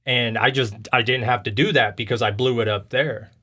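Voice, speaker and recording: A man's voice: mid-range voice, steady tone, moderate pace, sharp tone. Man rambling casually in slangy game chat. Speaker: video game podcast host. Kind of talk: casual chat about video games.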